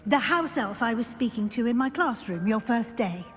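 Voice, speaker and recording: An actress playing a brisk, encouraging professor at a wizard school, explaining things in an instructive manner. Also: female voice, on the high side, expressive, soft background music, lightly acted. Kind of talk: expository game voice acting. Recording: long hall-like reverb.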